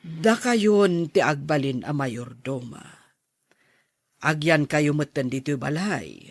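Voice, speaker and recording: A man's voice: a little high, animated, slow, dry recording, full character voice. A man playing an elderly plantation owner and grandparent in an audio drama, instructing in a matter-of-fact way.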